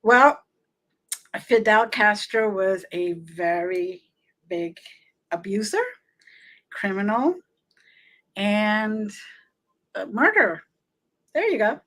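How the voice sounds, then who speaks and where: Frank, unhurried woman guest. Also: mid-range voice, expressive, fairly bright tone. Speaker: medium, show guest. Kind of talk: casual interview talk.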